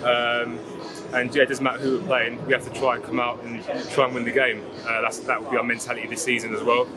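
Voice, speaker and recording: A young man speaking in a flat, level tone, answering post-match questions at an outdoor football ground. His answers run loose and easy, padded with ums and you knows. Crowd chatter sits clearly in the background. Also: medium pitch, fast talker. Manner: relaxed, fluent, filler-heavy answers. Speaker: footballer, vice captain. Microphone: lapel mic.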